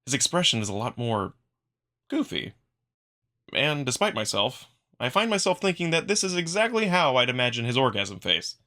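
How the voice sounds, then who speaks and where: A man giving an expressive, dramatic first-person narration of a visual novel, acting out the narrator's feelings. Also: medium pitch, normal speed. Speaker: narrator, amateur voice performer.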